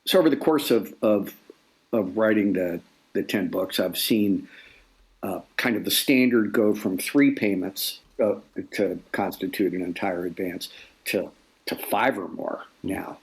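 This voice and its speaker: A man in his sixties talking as an interview guest, halting often and repeating words as he thinks aloud. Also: low-pitched, poor audio. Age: 60s. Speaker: guest author. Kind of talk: reflective interview conversation.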